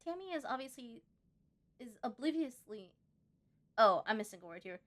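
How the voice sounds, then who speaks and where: A woman's voice: on the high side, sing-song, moderate pace, a bit bright. A woman hosting a casual let's-play, reading game text aloud and breaking off into chatty asides. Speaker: host of a gaming channel.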